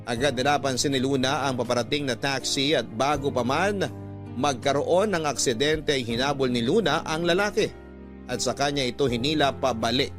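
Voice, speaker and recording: A man narrating a radio drama as its storyteller, in a scripted, dramatic style. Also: mid-range voice. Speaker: host and narrator. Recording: music bed behind the voice.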